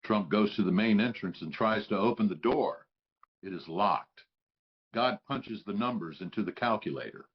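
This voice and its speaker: A man's voice: lowish voice, normal speed, muffled recording. A man giving scripted narration, reading out stage directions for a script.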